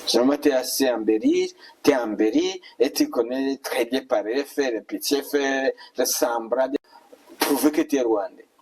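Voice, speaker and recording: Male preacher, husky mid-range voice, admonishing and repetitive, recorded away from the mic. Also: mid-range voice, expressive, normal speed, darkish tone, recorded from a distance. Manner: admonishing, repetitive rhetorical questions. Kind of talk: exhortatory sermon and prayer.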